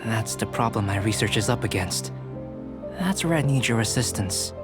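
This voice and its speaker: An actor playing a calm, curious young male alchemist who talks in a measured, formal, clinical way, in a game. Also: lowish voice, lightly acted. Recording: game audio with clear music behind.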